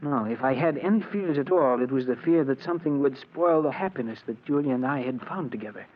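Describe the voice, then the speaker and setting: A man playing a soft-spoken, self-justifying murderer in 1940s radio drama, speaking in a measured, polite, earnest way. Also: mid-range voice, close to an everyday voice. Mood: reflective, confessional. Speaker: actor playing narrator and lead character. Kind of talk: 1940s radio drama acting, confessional narration. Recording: dull, noisy old radio recording.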